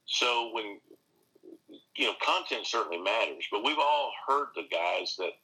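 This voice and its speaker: A pastor and seminary teacher answering interview questions earnestly, with frequent restarts and rising emphasis. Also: male voice, on the low side, lively intonation, unhurried, bright, forceful tone, breathy edge, rough recording.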